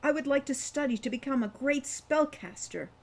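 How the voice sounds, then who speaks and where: A woman's voice: high-pitched, expressive, normal speed, vocal fry, voice pushed up. A woman voicing an earnest, persistent young man in a storybook, awed by magic and eager to learn it.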